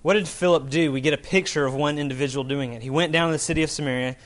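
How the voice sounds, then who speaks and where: A man's voice: on the high side, rapid-fire. A man preaching as a pastor in an informal, conversational sermon style, talking colloquially and often checking in with his listeners. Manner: casual, colloquial, checks in with "right?".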